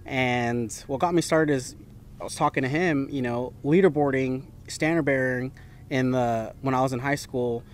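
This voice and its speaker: Young guest caddie, easygoing and chatty, faint room noise behind. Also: male voice, medium pitch, moderate pace. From Philippines. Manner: relaxed, talks in loose run-on phrases with fillers. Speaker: PGA Tour caddie, guest. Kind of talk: casual interview answers.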